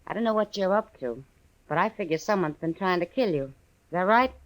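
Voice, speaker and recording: A woman's voice: on the low side, animated, brisk, close to an everyday voice, poor audio. An American actress playing a shrewd, self-assured frontier woman, wry and direct, in an old-time radio western. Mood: knowing, concerned.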